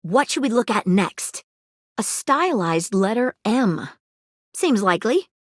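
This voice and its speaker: A woman's voice: on the high side, sing-song, takes it slowly, no echo, studio quality, full character voice. A woman voicing an eager, inquisitive young female detective in a video game, earnest and full of facts. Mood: analytical. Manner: earnest, inquisitive, fact-loving. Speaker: voice actor. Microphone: studio mic, dry booth.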